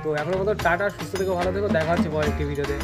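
A young man talks quickly in a high voice, presenting prank calls as a casual vlogger. His chat is informal and repetitive, recorded outdoors on a phone with music laid over it. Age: 20s. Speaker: prank-call video host. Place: outdoors beside a wall and metal shutter.

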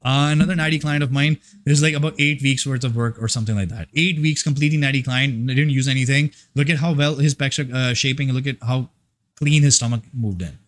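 A man's voice: mid-range voice, fast talker. A man who works as a fitness and life coach, giving a casual motivational monologue in a rambling, profane and emphatic way.

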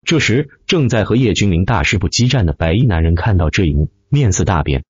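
Male audiobook narrator, animated dramatic delivery, lowish voice, close dry sound with dulled top end. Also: a little fast, husky, no echo, lo-fi sound. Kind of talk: scripted dramatic narration of fantasy web novel.

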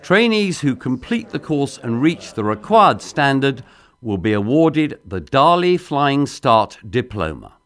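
A man giving scripted promotional narration in a polished, measured voice-over delivery. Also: mid-range voice, very animated, a bit bright.

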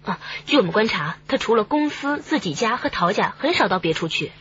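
A woman's voice: medium pitch, expressive, a little fast, a bit bright, slight background noise, lightly acted. An actress playing an earnest female detective reporting what she observed, in a Chinese radio drama. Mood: puzzled, earnest. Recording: dull, muffled radio drama audio.

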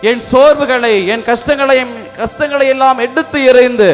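High-voiced man praying fervently over music. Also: fairly even intonation, moderate pace. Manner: fervent, pleading, rising and falling between loud appeals and hushed sorrow. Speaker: priest leading prayer. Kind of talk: spoken intercessory prayer. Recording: long reverb with faint music behind.

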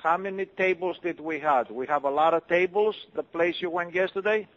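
A man's voice: high voice, a little fast, a bit bright. A man working at a restaurant, answering a phone call in a plain, polite way. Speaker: pizza restaurant worker taking a call. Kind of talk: phone conversation. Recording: dull lo-fi phone line.

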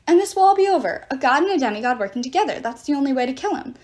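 Woman doing a cocky young demigod boy hero, brash n urgent, highish voice, storybook. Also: lively intonation, racing, a little mellow. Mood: urgent, persuasive. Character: bold, cheeky young male demigod hero.

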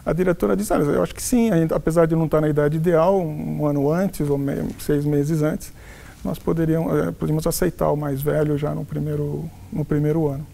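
Gravelly, halting older Paulistano man. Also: a little high, fairly even intonation, mellow. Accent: Paulistano Brazilian Portuguese. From São Paulo, Brazil. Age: 60s. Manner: halting, frequent restarts and self-corrections. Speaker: interviewed professor. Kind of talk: reflective life-story interview. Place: furnished office with wooden cabinets, indoors. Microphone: lapel.

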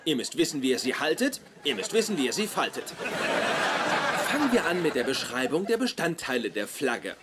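Self-important nerdy scientist, high voice, swooping intonation, pedantic podcast host, dubbed sitcom. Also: male voice, very animated, fairly quick, heavy background noise. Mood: lecturing, pompous. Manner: precise, lecturing, pompous. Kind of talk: dubbed German sitcom acting.

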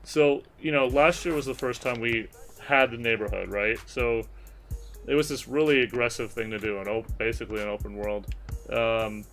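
A man casually answering livestream questions as a company representative, in a measured, hesitant, noncommittal way. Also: medium pitch, steady tone, a bit bright. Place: indoors at a desk, streaming webcam. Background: music.

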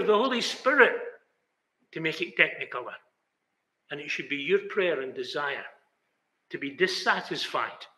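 Bright-voiced older man preaching. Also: sits high. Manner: earnest, emphatic, gestures with both hands. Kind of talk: sermon. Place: small chapel, indoors, banner behind. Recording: roomy chapel echo.

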